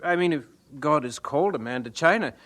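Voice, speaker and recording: An actor playing an earnest, idealistic young missionary in an audio drama, eager, pious and a little naive. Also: male voice, on the high side, lively intonation, brisk, fairly bright tone. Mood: defensive, earnest. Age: young adult.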